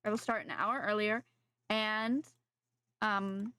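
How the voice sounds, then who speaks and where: A woman game streamer chatting casually to viewers, haltingly thinking aloud and repeating her phrases. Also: medium pitch, steady tone, sharp tone, smooth, no echo. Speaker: game livestreamer. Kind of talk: casual livestream chat.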